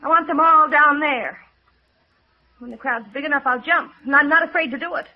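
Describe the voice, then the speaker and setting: A woman's voice: sits high, a little fast, lightly acted. An actress playing a desperate, distrustful young woman in a 1950s radio drama, tense, defiant and emotional. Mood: bitter, defiant. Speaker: radio actor. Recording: muffled old radio recording.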